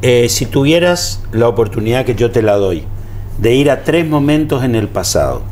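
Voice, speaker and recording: Middle-aged male host, mid pitch, short agreeable questions, lapel mic. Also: lively intonation, normal speed, darkish tone, slight background noise. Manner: brief prompts, agreeable. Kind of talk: interview questions.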